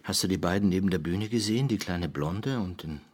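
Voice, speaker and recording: Very husky, deep-voiced anxious politician. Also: male voice, a little fast. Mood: uneasy, confiding. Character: anxious, secretive male presidential candidate. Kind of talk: intimate radio drama dialogue.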